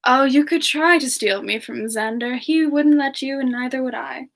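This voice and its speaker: A woman voicing a gentle, modest, lovestruck singer with ice powers, chatting softly and giggly in a semi-ASMR livestream Q&A. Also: high-pitched, moderate pace, vocal fry. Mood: chatty, relaxed. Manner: soft, giggly, endearing chatter with pet names. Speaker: character streamer answering chat questions.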